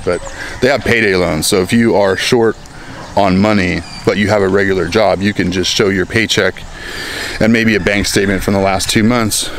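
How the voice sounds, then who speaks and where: An American man in his thirties talking to a handheld camera in a town plaza, rambling and joking in a casual vlog. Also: low-pitched. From United States. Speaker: vlogger. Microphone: handheld camera at arm's length. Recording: outdoor recording with clear street noise.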